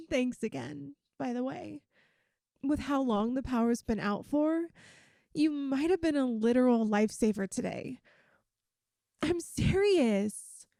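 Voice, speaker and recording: A woman's voice: sits high, a little mellow, no echo, clean studio sound, exaggerated voice. A woman voicing a playful, affectionate young lamia best friend in an intimate, chatty ASMR roleplay. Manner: teasing, chatty, giggly. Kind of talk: ASMR roleplay, one-sided intimate conversation.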